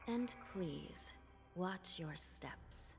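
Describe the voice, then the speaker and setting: An actress voicing a solemn young female judge in a video game, formal and measured, polite but strict. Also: lowish voice, expressive, creaky, full character voice. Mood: stern, commanding. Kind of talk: scripted video game dialogue. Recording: long reverb, game music behind.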